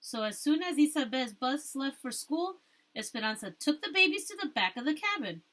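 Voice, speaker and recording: A woman teacher reading a storybook aloud, rereading stumbled phrases and pausing to explain things to her listeners. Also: sits high, big pitch swings, a bit bright.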